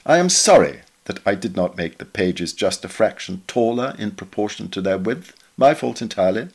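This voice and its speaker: A man reading a memoir essay aloud in a measured, literary, expressive way. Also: on the low side, husky. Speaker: reader of a magazine article.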